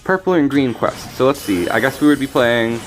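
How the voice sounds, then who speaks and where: A man streams a card game and talks it through as he plays, rambling and restarting his thoughts. His voice is mid-pitched and a little raspy. Faint game music plays behind him. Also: expressive, moderate pace, low-quality recording. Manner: chatty, thinking aloud, frequent restarts and ums. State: slightly raspy voice. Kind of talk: casual gameplay commentary.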